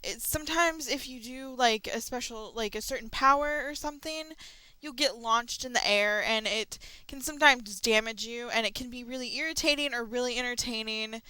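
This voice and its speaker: Young woman vlogger, rambling casual chat, bright crisp voice, dry close mic. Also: on the high side, moderate pace, no echo, poor audio. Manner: chatty, rambling, frequent ums. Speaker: channel host. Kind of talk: casual audio log chat.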